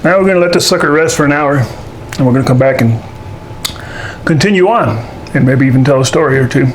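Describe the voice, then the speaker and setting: A man's voice: mid-range voice, expressive, brisk, a little hiss or hum, rough recording. A man hosting a casual cooking demonstration at home, talking in a folksy way with joking asides. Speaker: show host. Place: indoors at home kitchen.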